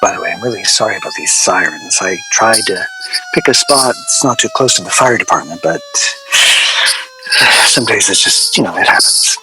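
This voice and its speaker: A man playing a wry, middle-aged record-label veteran in a radio drama, reminiscing to an interviewer in a halting, digressive way. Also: on the low side, huge pitch swings, pushed and bright, exaggerated voice, rough recording. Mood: apologetic. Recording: location recording with clear background noise.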